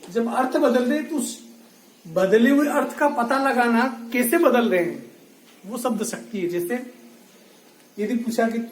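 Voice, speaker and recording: Teacher at the board, repeats key phrases, calls out students who drift, roomy and off-mic. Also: medium pitch. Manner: addresses students directly, scolds inattention. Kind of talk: classroom lecture. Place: classroom. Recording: echoey room, voice from a distance, faint noise.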